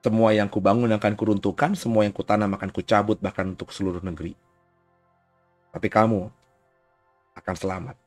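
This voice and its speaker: A man's voice: fairly low pitch, steady tone, brisk, long pauses, soft background music. A man preaching a conversational sermon in an informal way, often tagging phrases with short checks, while getting over a cough. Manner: informal, frequent "ya" tags and asides. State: recovering from a cough. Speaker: pastor giving a recorded sermon.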